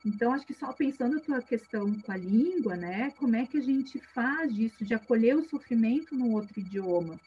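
A woman's voice: a little high, animated, fairly quick, few pauses. Woman, reflective, hesitant, video call, muffled audio. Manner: reflective, many hesitations and "né" tags. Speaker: psychologist in a migration project. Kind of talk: thoughtful spoken reply in a panel discussion. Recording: dull lo-fi video call audio with faint hum.

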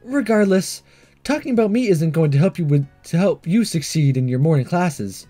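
A man acts out a studious, shy schoolgirl in a visual novel. The voice is high and lightly mellow, hesitant and earnest. Faint music plays behind it. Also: animated, moderate pace. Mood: reserved. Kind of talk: visual novel dialogue read-along.

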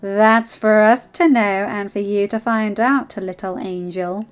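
A woman's voice: mid-range voice, fairly even intonation, smooth, highs cut off, lightly acted. A woman voicing a smug, taunting male villain in a narrated story, speaking with a smirk. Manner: smirking, drawn-out.

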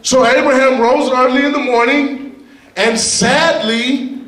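A middle-aged man preaches in a very high voice, reading scripture and driving his points home with sudden shouts. He speaks into a handheld mic in a large church hall, heard from a distance with a roomy echo. The congregation murmurs faintly behind him. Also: fairly even intonation, takes it slowly. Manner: emphatic, bursts into shouted lines. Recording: echoey hall, heard from afar. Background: congregation responding.

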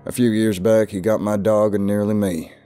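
A man's voice: deep voice, expressive, normal speed, creaky, a little mellow, slightly rough, faint music behind, heavily acted. A man with a Southern US accent voicing a stern, ominous older recluse.

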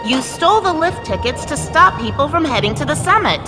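A woman's voice: high-pitched, very animated, a little fast. An actress playing a brainy, confident young woman who explains mysteries matter-of-factly. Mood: knowing. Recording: game audio under music.

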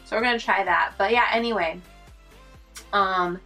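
A woman's voice: mid-range voice, moderate pace, sharp tone, soft background music. Congested young woman chatting through makeup. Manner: chatty, rambling with fillers while applying makeup. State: congested, stuffy nose. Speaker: beauty vlogger. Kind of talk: casual makeup tutorial chat. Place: small room at home, indoors.

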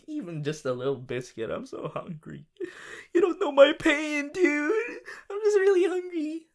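A man voicing a goofy, melodramatic teenage anime boy in a fan audio roleplay, whiny and drawn-out, talking to the listener. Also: medium pitch, lightly acted. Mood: miserable, complaining.

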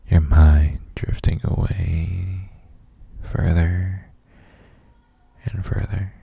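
A man playing a gentle, doting boyfriend in a sleep ASMR roleplay, murmuring soft reassurances in a breathy, gravelly near-whisper with hesitant hums. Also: low voice, heavily acted.

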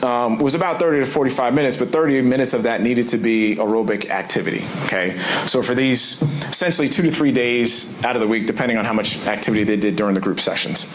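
A man's voice: medium pitch, fast, few pauses. A man giving an explanatory research presentation to a conference, often checking in as he goes, recorded in a room. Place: indoor conference room at a lectern. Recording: clearly noisy room recording.

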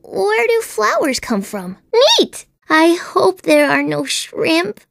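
A woman's voice: extremely high pitch, very animated, slow, vocal fry, dry recording, clean studio sound, exaggerated voice. A woman voicing an imaginative, chatty little girl in a video game, with a whimsical, earnest, childlike way of wondering aloud. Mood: curious, wondering. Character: imaginative, chatty little girl, dreamy about unicorns and princesses. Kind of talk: animated video game character voice acting.

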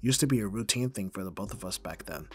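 A man playing a gruff but caring young roommate in an audio roleplay, casual and dryly grumbling, with a slightly husky voice. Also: fairly low pitch, expressive, fast, faint music behind. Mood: grumpy. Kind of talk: ASMR audio roleplay acting.

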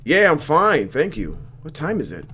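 A man's voice: a little high, huge pitch swings, normal speed, bright, forceful tone, a little hiss or hum. A young man doing a let's play, reading a visual novel aloud with playful, reactive commentary.

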